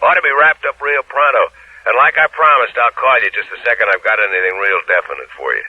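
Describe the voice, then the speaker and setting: An actor playing a brisk, chummy, slangy police sergeant in a 1950s radio drama. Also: male voice, mid-range voice, dry recording, natural voice. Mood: eager, pleased. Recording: thin, phone-like old radio recording.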